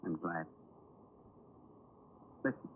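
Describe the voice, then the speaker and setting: A man playing a gentle, reassuring companion in a video game, with a thin, tinny sound. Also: very slow. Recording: thin, distant, tinny sound with faint noise.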